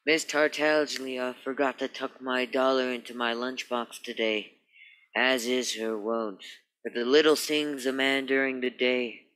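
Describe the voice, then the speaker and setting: Man playing a bright, pompous police sergeant. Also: medium pitch, flat delivery, unhurried, smooth, close to an everyday voice, poor audio. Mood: pompous, eager. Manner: pompous, florid, officious. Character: wordy, comically formal, eager male police sergeant. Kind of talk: radio play acting.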